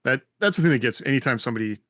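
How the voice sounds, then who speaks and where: An American man co-hosting a podcast, speaking casually and somewhat haltingly over an online call. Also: medium pitch, expressive, fairly bright tone, dry recording, clean studio sound. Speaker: podcast co-host. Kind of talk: casual panel discussion.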